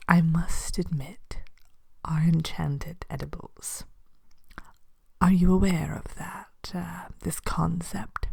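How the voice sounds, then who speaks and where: Woman playing a slow, whispering evil queen. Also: sits low, heavily acted. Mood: sly, welcoming. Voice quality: soft, breathy whisper. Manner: slow, genteel, playfully sinister, hesitant murmuring. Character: sly, courteous Evil Queen shopkeeper of enchanted edibles. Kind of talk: ASMR roleplay.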